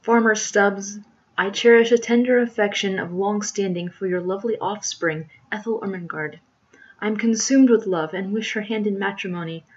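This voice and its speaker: A woman's voice: mid-range voice, normal speed, muffled recording, lightly acted. Woman acting a sneering, pompous villainous squire. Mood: menacing, imperious. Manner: stern, snapping, pompous. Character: dastardly, sinister male squire, sneering, imperious melodrama villain.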